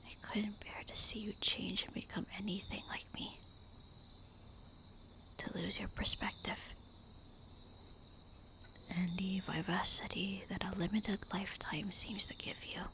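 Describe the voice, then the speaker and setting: A woman voicing a tender, melancholy immortal vampire wife in a breathy, intimate whispered ASMR roleplay. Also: mid-range voice, steady tone, a bit bright. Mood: loving, wistful. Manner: slow, intimate, wistful murmuring.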